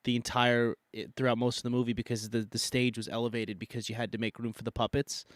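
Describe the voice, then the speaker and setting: A man's voice: mid-range voice, flat delivery, fast talker, dry recording, studio quality. A man co-hosting a live podcast, sounding nasal from a cold, talking casually with lots of fillers and restarts. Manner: rambling, many fillers and restarts. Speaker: podcast co-host. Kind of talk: casual debate chat.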